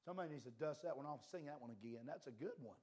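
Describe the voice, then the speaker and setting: A man preaching a fiery sermon, impassioned and swinging between quiet and loud, his voice a little worn. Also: medium pitch, expressive, very fast, breathy edge.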